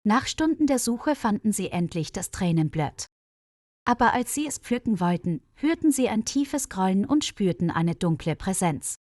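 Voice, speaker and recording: Female storyteller for learner audio, animated intonation, dry close sound, scripted narration. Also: mid-range voice, moderate pace, no room sound.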